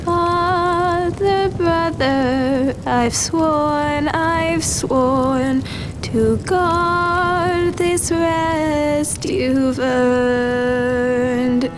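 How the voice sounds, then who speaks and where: A woman playing a mournful young noble girl in a fantasy game, singing a slow folk lament. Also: high voice, steady tone. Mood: mournful, vowing. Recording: loud musical accompaniment. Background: loud music.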